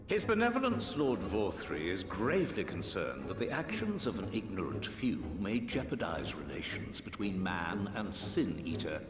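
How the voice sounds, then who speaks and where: Game villain: imperious older general, lowish voice, grave and fatalistic, reverberant hall with music underneath. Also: male voice, very animated, moderate pace, hardly any pauses. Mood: cold menace. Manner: grave, imperious, archaic formal phrasing. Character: stern, fatalistic older male army general. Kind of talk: dramatic video game cutscene acting.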